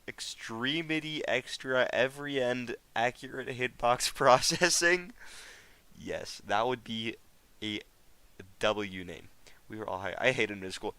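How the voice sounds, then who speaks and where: A man's voice: medium pitch, sharp tone, smooth, no room sound. A teenage American guy streaming a game, chatting casually with viewers in a rambling, slangy way. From United States. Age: teens. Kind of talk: casual live stream chat.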